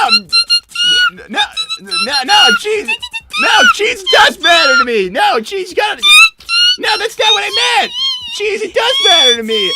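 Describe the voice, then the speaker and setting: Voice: extremely high pitch, heavily acted. A performer voicing a flustered, defensive young male fox in improvised live roleplay, stammering and protesting in a whiny way. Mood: pleading, distraught. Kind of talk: live improvised character roleplay, reading donor messages.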